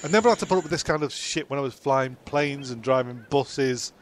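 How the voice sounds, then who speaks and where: A man's voice: mid-range voice, a little fast, a little hiss or hum, close to an everyday voice. A man playing a grumpy, vain, foul-mouthed castaway ex-pilot in a comedy audio show, ranting and stumbling over lines. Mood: grumbling. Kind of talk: comedy audio drama with ad-libs.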